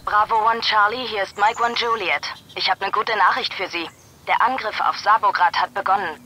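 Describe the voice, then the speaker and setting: A woman's voice: medium pitch, normal speed, sharp tone. Stern female military radio dispatcher, noisy background. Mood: businesslike, commanding. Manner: clipped, formal military radio procedure. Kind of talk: video game radio acting.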